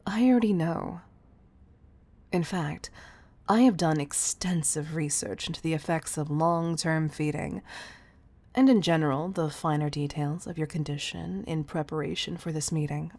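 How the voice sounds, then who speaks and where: A woman playing a stern, commanding chief executive in a scripted audio roleplay, exasperated and deliberate in her emphasis. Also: deep voice, darkish tone, dry recording. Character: stern, commanding female CEO scolding an employee.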